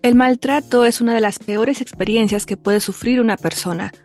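A woman hosting a radio program in a clear, measured reading voice. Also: a little high, a little mellow, faint music behind. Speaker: radio host. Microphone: studio microphone.

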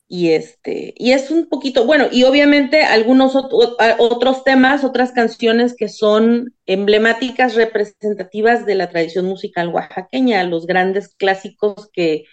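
A woman's voice: a little high, a little slow, few pauses. Chatty woman singer, muffled sound. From Isthmus region of Oaxaca, Mexico. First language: Zapotec. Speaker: singer, radio guest. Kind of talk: radio interview.

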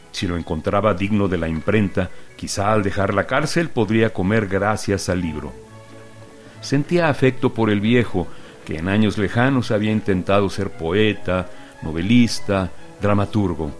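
A man narrating a short literary story in a calm, measured reading. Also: fairly low pitch, faint music behind.

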